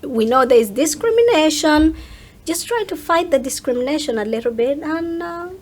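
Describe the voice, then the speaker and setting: A woman with a fairly high voice talks casually as a guest in an interview. She is earnest and illustrates her points by acting out imagined remarks. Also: expressive, normal speed. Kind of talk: casual conversation.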